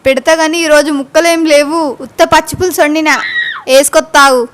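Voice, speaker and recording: A woman's voice: high voice, fairly even intonation, fairly quick, a bit bright. A woman voicing a chiding but indulgent village mother with a Telangana accent in a cartoon comedy. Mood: fussing, chiding. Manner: chiding, fussing. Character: fussing, indulgent village mother of a lazy son. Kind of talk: cartoon comedy dubbing in dialect. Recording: crowd sounds behind the voice.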